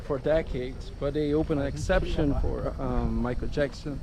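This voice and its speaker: A man's voice: mid-range voice, steady tone, moderate pace, a loud crowd, low-quality recording. A young man from Brazil with a Brazilian Portuguese accent, a local guide chatting enthusiastically outdoors with plenty of fillers. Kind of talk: casual chat. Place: outdoors in a city. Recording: outdoor video with loud street noise.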